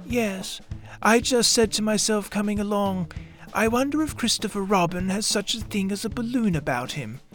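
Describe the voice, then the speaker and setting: A man voicing a simple, earnest, honey-loving bear in a children's storybook reading, musing slowly with pondering, repeated phrases. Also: high-pitched, a bit bright, soft background music, pitched above the performer's own voice. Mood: earnest, hopeful. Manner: slow, pondering, repetitive phrasing.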